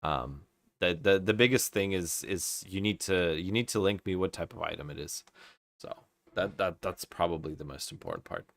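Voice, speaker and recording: A man's voice: low voice, normal speed, a bit bright, no echo. A man from Kazakhstan chatting casually as a game livestreamer giving advice, often repeating words as he thinks aloud. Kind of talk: casual live stream chat, advice and commentary.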